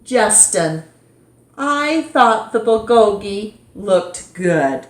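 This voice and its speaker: A polite, friendly schoolboy in a storybook, read by a woman. He speaks gently and earnestly to his classmates. The recording has a clear room echo. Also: mid-range voice, animated, very slow, voice pushed up. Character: friendly, polite new schoolboy in a picture book. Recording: roomy echo.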